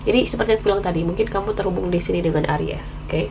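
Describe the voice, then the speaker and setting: Low, creaky Indonesian woman with flu. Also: rapid-fire, background noise. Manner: chatty, candid, mixing in English slang phrases. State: has the flu, candy in mouth. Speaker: tarot reader, channel host. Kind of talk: casual tarot reading to viewers.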